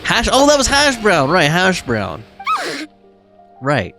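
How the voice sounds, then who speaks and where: A woman's voice: on the low side, huge pitch swings, takes it slowly, over music. A woman giving casual let's-play commentary, with amused, slangy reactions. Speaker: gaming streamer.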